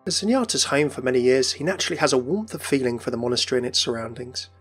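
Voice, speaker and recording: A man's voice: mid-range voice, expressive, racing, breathy edge. A man presenting scripted narration as a video host, in an explanatory style. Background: background music.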